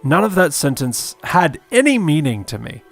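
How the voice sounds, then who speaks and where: A man's voice: a little high, big pitch swings, normal speed, creaky, faint music behind, pitched below the performer's own voice, full character voice. A man voicing a meek, naive eleven-year-old boy, polite and puzzled, in a comedy parody.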